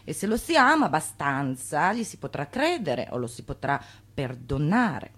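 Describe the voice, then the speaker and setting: An actress playing a stern, grave mother in a radio drama, reading in a measured, formal manner. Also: sits low, big pitch swings, a bit bright, slightly rough, exaggerated voice. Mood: grave, disapproving. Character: stern, grave mother writing a warning letter.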